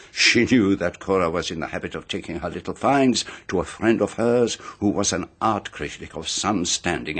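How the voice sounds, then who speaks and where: An actor playing a shrewd, composed detective in a radio drama, deliberate and precise, with dramatic pauses. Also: male voice, low voice, a bit bright, breathy edge, exaggerated voice. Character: shrewd, composed male detective laying out a murder case.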